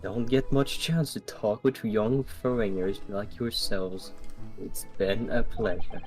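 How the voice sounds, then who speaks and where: Man doing a slow, courteous old military gentleman. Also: lowish voice, fairly even intonation, rough recording. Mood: apologetic, polite. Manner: polite, leisurely, old-fashioned speech. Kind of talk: amateur fandub of a video game. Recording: music playing behind the voice.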